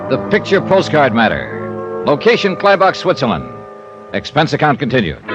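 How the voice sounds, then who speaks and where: Male actor, tough wry American detective, clipped radio-drama narration, expressive intonation, muffled old recording. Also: medium pitch, natural voice. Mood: brisk, businesslike. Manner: clipped, hard-boiled delivery. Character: tough, wry American freelance insurance investigator. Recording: dull vintage radio recording with music.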